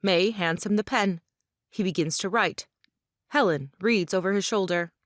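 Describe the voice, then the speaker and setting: A woman's voice: mid-range voice, normal speed, no echo, studio quality. A woman reading scripted narration and stage directions for an audio play.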